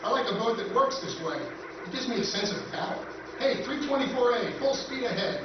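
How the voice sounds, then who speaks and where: An actor playing a breezy, bossy surveyor in a staged old-time radio drama, brisk and exclaiming. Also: male voice, extremely high pitch, lo-fi sound. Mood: eager. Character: breezy, bossy, gung-ho male surveyor partner. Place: convention stage. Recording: echoey, noisy, distant stage recording.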